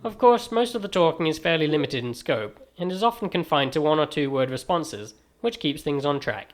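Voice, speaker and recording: Wry transatlantic man with lively delivery. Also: fairly high pitch, brisk. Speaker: video game reviewer. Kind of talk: scripted review narration.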